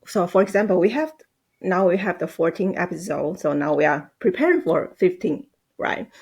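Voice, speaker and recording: A woman, a Mandarin speaker, chatting on a podcast in halting, hesitant English. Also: on the low side, a little mellow, slightly rough, dull audio. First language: Mandarin Chinese. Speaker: podcast guest, team manager. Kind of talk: casual podcast conversation.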